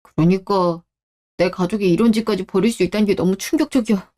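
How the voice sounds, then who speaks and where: A woman's voice: mid-range voice, a little fast, warm tone, natural voice. A woman voicing a protective adult husband in a radio drama, with a firm, blunt and earnest delivery. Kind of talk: Korean radio-drama story acting.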